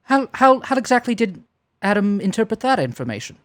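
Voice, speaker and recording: Teasing Southern host on a dry mic. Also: male voice, high voice, big pitch swings, a bit bright, dry recording. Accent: American Southern. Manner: teasing, enthusiastic, quick to joke. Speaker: stream host. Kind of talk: casual chat on a live stream.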